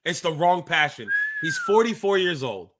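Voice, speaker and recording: A man's voice: high-pitched, very animated, normal speed, very noisy. A man in his thirties co-hosting a wrestling podcast, chatting casually in slangy insider talk. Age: 30s. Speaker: co-host of a pro wrestling podcast; active pro wrestler. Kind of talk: casual podcast chat and commentary.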